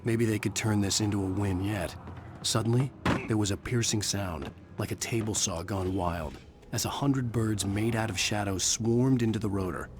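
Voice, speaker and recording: An actor playing a haunted male novelist, narrating his story in a low, measured, inward way. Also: noisy background, lightly acted. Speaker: novelist protagonist. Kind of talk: brooding noir game narration.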